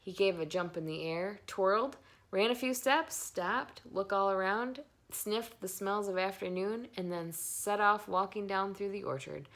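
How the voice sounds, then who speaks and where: A woman reading a children's book aloud in expressive scripted narration. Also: mid-range voice, measured pace. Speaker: story reader.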